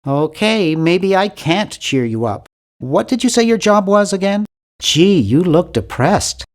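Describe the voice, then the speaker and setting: A man's voice: high-pitched, big pitch swings, measured pace. A man voicing a nerdy, timid young adventure-game hero, bookish and polite, with a nasal voice and an awkward, earnest, fussy manner. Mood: awkward, friendly. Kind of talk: video game character voice lines.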